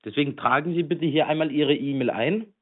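A man's voice: a little high, a little fast. A man on the phone insistently walking someone through steps to sign in. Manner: insistent, instructing. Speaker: phone scammer. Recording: tinny phone line.

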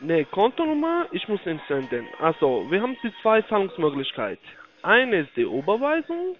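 A high-voiced man with a foreign accent works through a telephone sales pitch. His intonation swings widely as he repeats himself in halting, grammatically broken phrases. The call audio is dull and lo-fi. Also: wildly swooping pitch, faint music behind. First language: not German (non-native speaker). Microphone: phone call.

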